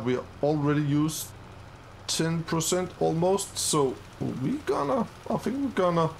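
Guy playing a farm game, chill slow talk, kinda high voice. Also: slight background noise. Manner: upbeat, easily excited, many fillers. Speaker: gaming channel host. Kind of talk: casual let's-play commentary.

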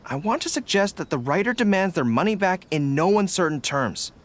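A man's voice: high voice, sing-song. A young man voicing an earnest, formal young dog-eared general in a video game. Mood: firm, considered. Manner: earnest, deliberate, formal phrasing. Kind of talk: video game character dialogue.

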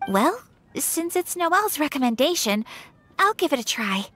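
A woman's voice: high voice, big pitch swings, normal speed, fairly bright tone, a little hiss or hum. An actress playing a lovelorn, doubtful young townswoman in an anime-style video game, hesitant and sighing.

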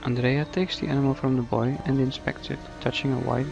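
A man narrating a scripted radio play in a measured, dramatic storytelling manner. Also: medium pitch, steady tone, creaky, muffled recording, music in the background.

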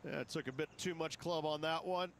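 A man's voice: a little high, brisk, background noise, close to an everyday voice. A man playing a golf analyst in a video game, giving conversational commentary. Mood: matter-of-fact. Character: male golf analyst adding brief remarks.

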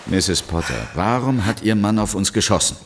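Deep-voiced, insistent investigator. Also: male voice, lively intonation, moderate pace, a little hiss or hum. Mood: urgent, pressing. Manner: polite, pressing questions. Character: insistent, courteous male investigator questioning people. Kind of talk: German audio drama acting.

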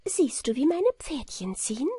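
A woman's voice: high voice, big pitch swings, normal speed, warm tone, dry recording, clean studio sound, voice pushed up. A woman voicing a small, playful and gracious fairy queen in a storybook, in a light, inviting manner. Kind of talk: storybook character reading.

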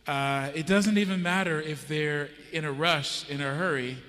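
A man's voice: fairly high pitch, moderate pace, sharp tone. A middle-aged American man preaching a sermon on a church stage, telling a story and asking the audience questions. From United States. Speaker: pastor. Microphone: handheld microphone. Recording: church hall reverb.

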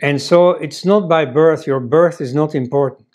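A man's voice: a little high, moderate pace, a little mellow. An older man whose first language is Dutch, seated at a desk and giving a conversational talk with many "you see" asides. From Belgium. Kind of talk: lecture-style talk. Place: office room indoors.

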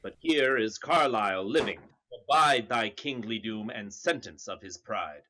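A man's voice: lowish voice, animated, unhurried, sharp tone, exaggerated voice. A man playing a loyal nobleman delivering news to the king in a Shakespeare reading. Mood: reporting. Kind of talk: Shakespeare reading over video call.

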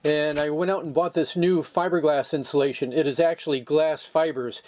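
Older male DIY boat mechanic, slightly higher voice, casual how-to delivery, matter-of-fact and plain-spoken. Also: fairly even intonation, moderate pace, darkish tone. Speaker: sailor demonstrating boat engine repair.

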